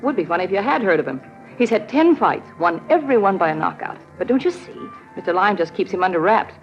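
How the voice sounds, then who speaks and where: A woman's voice: medium pitch, very animated, quick pace. Young female con artist in vintage radio drama, coy and confiding, muffled recording, music underneath. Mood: confiding, eager. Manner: coy, confiding, feigned hesitancy. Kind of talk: old-time radio drama acting.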